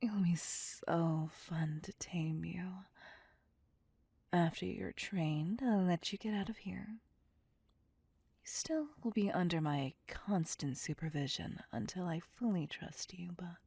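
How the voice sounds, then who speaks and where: Female vampire mistress, acted by a woman, slow purring whisper, gravelly creak, seductive, possessive, ASMR roleplay. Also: on the low side, dark tone, dry recording, heavily acted. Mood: commanding, smug. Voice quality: breathy, whispery. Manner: slow, teasing, domineering, purring.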